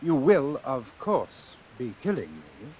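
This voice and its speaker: An actor playing a cold, calculating doctor in a vintage suspense radio drama, speaking in a formal, precise, measured way. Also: male voice, medium pitch, wildly swooping pitch, darkish tone, slightly rough, heavily acted. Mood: insistent. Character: cold, calculating male doctor obsessed with his experiment. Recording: hissy old radio recording.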